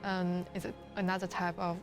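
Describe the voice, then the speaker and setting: A woman's voice: fairly low pitch, level tone, normal speed, over music. A woman from China whose first language is Chinese, answering a documentary interview hesitantly and searching for words in English.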